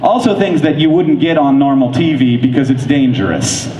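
Excited man on a big convention stage. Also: medium pitch, noisy background. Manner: fast, enthusiastic, filler-heavy with frequent likes. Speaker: keynote speaker. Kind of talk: conference keynote talk. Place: large convention hall stage. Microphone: handheld microphone. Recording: echoey hall from far off.

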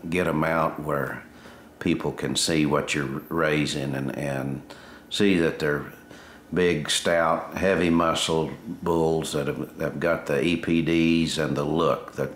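A man who is a rancher, speaking slowly, plainly and haltingly. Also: very deep voice, a bit bright, a little hiss or hum. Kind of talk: interview. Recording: echoey room.

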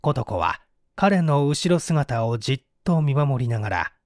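A man's voice: medium pitch, sing-song, measured pace, a little mellow, dry recording, studio quality. A man reading scripted literary narration as an audiobook narrator. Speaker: audiobook narrator, stage actor.

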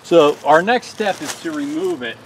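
A man's voice: a little high, sing-song, normal speed, a little hiss or hum, rough recording. A man in his forties hosting a hands-on small engine repair tutorial outdoors on a lawn, explaining each step in a plain, folksy way.

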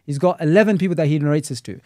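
A man, an Islamic scholar and teacher, talks at a quick clip in a relaxed, critical discussion. His voice sits a little high but sounds mellow and dark. The sound is dry and close, and he scatters Arabic religious terms as he goes. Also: dry recording. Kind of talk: casual podcast discussion.

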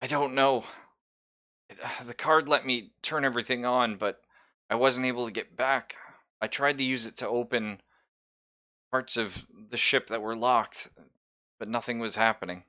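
A man's voice: mid-range voice, fairly even intonation, moderate pace, long pauses, sharp tone, lightly acted. A man voicing a wounded, dying woman, weak and regretful, in a tabletop game. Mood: weak, confused.

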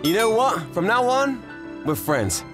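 A man voicing a cocky, good-natured teenage boy in a manga dub, casual and expressive. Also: high-pitched, measured pace, music in the background. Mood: upbeat. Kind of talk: manga dub narration and dialogue.

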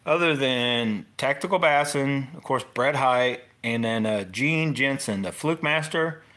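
A man's voice: medium pitch, sharp tone. A middle-aged man with an American accent gives a casual, unscripted fishing tutorial as host, rambling informally and often interrupting himself.

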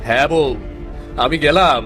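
A man's voice: fairly high pitch, a little slow, pushed and bright, soft background music. A man playing a simple-minded village husband in a cartoon folktale, childlike, earnest and whiny. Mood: stubborn, earnest. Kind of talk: fairy-tale cartoon acting.

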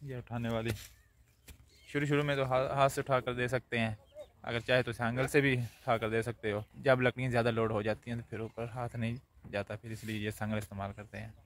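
A man vlogs from an open farm field, speaking into a phone he holds at arm's length. His voice sits at an ordinary middle pitch, and his talk is chatty and easygoing, full of pointing and small laughs. A faint outdoor hum runs underneath. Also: flat delivery, a little fast. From Punjab, Pakistan. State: tired, out of breath after field work. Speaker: village vlogger. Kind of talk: casual chat, talking to viewers. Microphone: phone held at arm's length.

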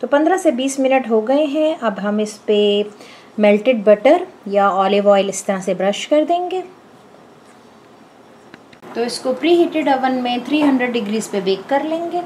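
A woman with a slightly high voice hosts a home cooking lesson. She explains each step in a calm, patient way and speaks straight to her viewers. A soft background hum sits under her voice. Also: a little slow, poor audio. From Pakistan. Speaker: cooking show host. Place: home kitchen.

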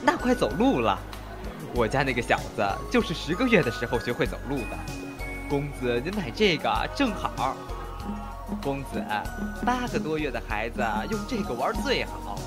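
An actor playing an obliging, chatty toy shopkeeper with friendly sales patter in a Chinese period audio drama. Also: male voice, medium pitch, big pitch swings, normal speed, music in the background, close to an everyday voice.

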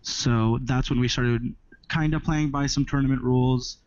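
A man's voice: medium pitch, steady tone, normal speed, a little mellow, dry recording. A man of twenty from Southern California, a podcast guest chatting casually and rambling with restarts. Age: 20s. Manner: rambling, restarts phrases, easygoing. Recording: dry, muffled lo-fi audio.

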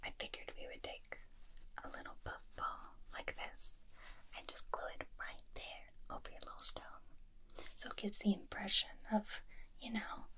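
A woman's voice: mid-range voice, level tone, normal speed, sharp tone, close to an everyday voice. A woman in her twenties playing a doting, slightly nervous superheroine wife in an ASMR roleplay, whispering tenderly and hesitantly close to a binaural mic. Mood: gentle, playful. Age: 20s. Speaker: ASMR performer. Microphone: close binaural microphone.

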